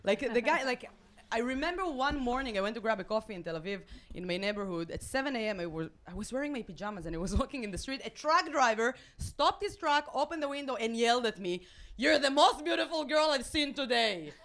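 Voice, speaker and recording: A woman in her late twenties from Israel, with an Israeli accent and Hebrew as her first language, performing stand-up with deadpan, self-mocking delivery and halting restarts. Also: a little high. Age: late 20s. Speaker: stand-up comedian. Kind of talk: stand-up routine. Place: comedy club stage with a live audience.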